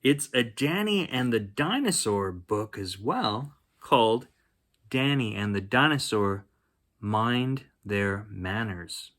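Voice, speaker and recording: A man hosting a children's bedtime story reading, expressive, with chatty asides spoken straight to young listeners. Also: fairly low pitch, slow pace. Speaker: children's story reader and host. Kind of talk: bedtime picture book read-aloud with chatty asides.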